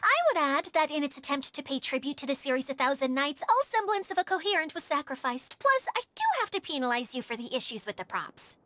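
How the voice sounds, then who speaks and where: A woman's voice: high-pitched, very animated, fast talker, hardly any pauses, sharp tone, exaggerated voice. A woman playing a sensitive, theatrical young woman with a polite, flowery manner in a video game. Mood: poised, critical. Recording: music behind the voice.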